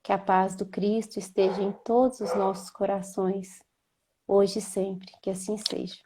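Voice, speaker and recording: A woman with a Minas Gerais accent hosting a live video call, chatting and then leading a slow, gentle prayer. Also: medium pitch, little pitch movement, a little hiss or hum. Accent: Minas Gerais Portuguese. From Minas Gerais, Brazil. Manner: slow, gentle and devout when praying. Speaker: host.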